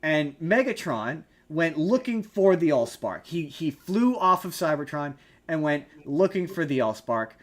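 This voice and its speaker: Male podcast co-host, high voice, casual but emphatic explainer who rambles and argues his point. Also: very animated, measured pace. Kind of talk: casual opinionated film discussion.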